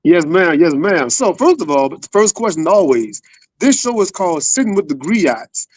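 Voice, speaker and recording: A man's voice: high-pitched, sing-song, moderate pace, darkish tone, husky, no room sound. A man hosting a podcast in a casual, slangy interview style with familiar, hype-man phrasing. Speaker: podcast host.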